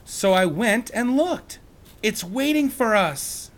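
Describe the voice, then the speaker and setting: A man reading a storybook aloud, voicing an eager young girl who shouts with excitement. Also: high voice, slow pace, pitched above the performer's own voice. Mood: excited.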